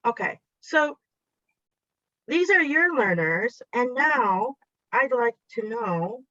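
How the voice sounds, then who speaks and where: A woman's voice: a little high, animated, takes it slowly, bright, forceful tone, no room sound. A woman whose first language is Japanese, presenting a teacher workshop online in a chatty, encouraging way and guiding the audience step by step. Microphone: video call. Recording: dull lo-fi video call audio.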